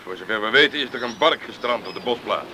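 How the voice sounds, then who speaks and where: A man acting a gruff lifeboat skipper in a radio drama, with wind and sea behind him. His mid-pitched voice moves freely, blunt, defensive and quick to flare up. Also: lively intonation, close to an everyday voice. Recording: clear wind and sea noise.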